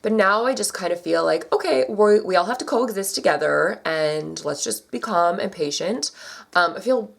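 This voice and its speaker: A young woman vlogger chatting casually to her camera, her voice a little scratchy from allergies, with lots of fillers and sudden jumps in pitch. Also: lively intonation, moderate pace, creaky.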